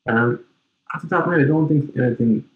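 A man hosting a casual interview, talking in a relaxed, loose way with lots of fillers. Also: mid-range voice, quick pace, narrow, tinny audio. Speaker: podcast host.

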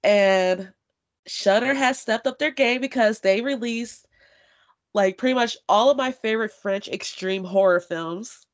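A woman's voice: on the high side, a little slow, dull audio. A woman hosting a podcast, chatting casually and swearing freely, her voice stuffy from allergies. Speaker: podcast host.

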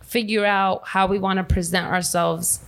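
Young woman mid-pitch, chimes in a lot, switches into spanish now and then. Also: little pitch movement, moderate pace. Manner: supportive interjections, mixes in Spanish. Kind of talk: casual chat.

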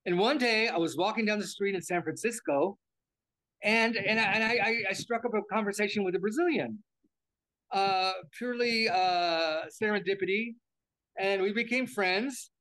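An older American man with a high-pitched voice, chatting through an interview. He rambles, stumbling over repeated words and restarting his sentences. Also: normal speed, sharp tone, rough recording. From United States. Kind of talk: casual interview talk.

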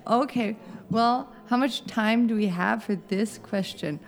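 A woman's voice: a little high, a little slow. A woman from the United States, chatting as a podcast guest, laughing often and wandering into asides. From United States (Southern Oregon). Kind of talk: casual chat.

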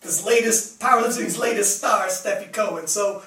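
A man's voice: high voice, moderate pace, a bit bright, recorded from a distance. A young man from Canada hosting a casual live interview show, chatty and laughing often, full of fillers. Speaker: host. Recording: roomy sound, mic set back.

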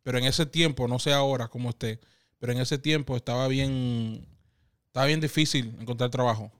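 A man in his thirties from the Dominican Republic with a Dominican accent, telling stories as a guest in a casual interview, animated and quick to laugh. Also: medium pitch, clear, resonant tone. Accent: Dominican Spanish. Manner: animated, laughs often, quotes others in lively voices.

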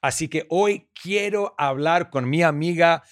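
A man's voice: a little high, a little slow, dry recording, clean studio sound. A man from Argentina with an Argentine Spanish accent, hosting a faith-based podcast interview in an encouraging, effusive way. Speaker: podcast host.

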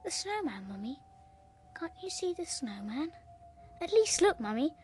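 A woman's voice: sits high, sing-song, normal speed, darkish tone, slight background noise. A woman playing a bossy, eerie little boy with a British accent in a radio horror drama, insistent, repetitive and taunting.

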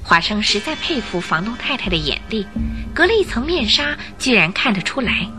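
A woman narrating a scripted Chinese radio drama in an expressive storytelling style. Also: fairly high pitch, normal speed, music in the background.

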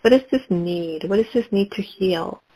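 A woman leading a guided meditation, speaking in slow, calm, repetitive prompts. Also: medium pitch, slightly rough, rough recording.

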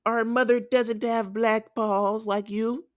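Squeaky, insistent little goat kids. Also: male voice, level tone, slow pace. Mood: defiant. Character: young goat kids, high-pitched, defiant little children.

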